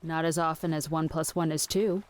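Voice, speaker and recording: An actress plays an earnest woman researcher in an audio drama. Her voice sits a little low for a woman. She lays out fringe theories in a measured, pedantic, matter-of-fact manner. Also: steady tone, close to an everyday voice. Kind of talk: scripted audio drama acting.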